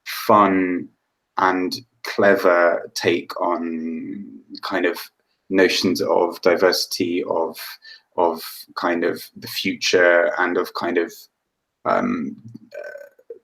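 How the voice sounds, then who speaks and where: A man's voice: sits low, flat delivery, extremely slow, gravelly, fairly bright tone. A man co-hosting a casual chat, hesitant and searching for words. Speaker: co-host.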